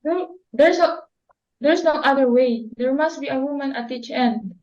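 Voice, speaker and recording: Young woman as a sensible daughter, earnest, practical, high voice, dull lo-fi sound. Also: moderate pace, a little mellow, lightly acted. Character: sensible young daughter helping her mother. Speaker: student actor. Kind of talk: amateur dramatic reading. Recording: dull lo-fi recording.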